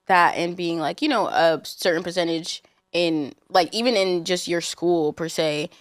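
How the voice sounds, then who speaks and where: A woman's voice: on the low side, a little slow, no room sound, clean studio sound. A young adult woman hosting a casual interview, talking in a slangy, playful and informal way. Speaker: podcast host.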